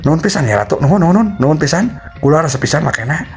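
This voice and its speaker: Man acting a brisk, high-pitched royal traveller. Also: animated. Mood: grateful, brisk. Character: firm, bold royal traveller, grateful and brisk. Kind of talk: Sundanese folktale storytelling. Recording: music behind the voice.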